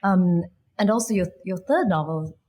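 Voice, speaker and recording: A woman's voice: fairly low pitch, normal speed, darkish tone, breathy edge. A woman moderating a literary festival conversation, asking polite, searching questions with many ums and restarts. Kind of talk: onstage interview.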